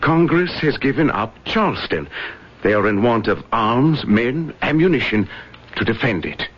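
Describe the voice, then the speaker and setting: An actor in an old radio drama playing a deliberate man reading out coded intelligence in a halting, measured way. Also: medium pitch, sing-song, a bit bright. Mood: slow, deciphering. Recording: muffled, noisy old radio recording.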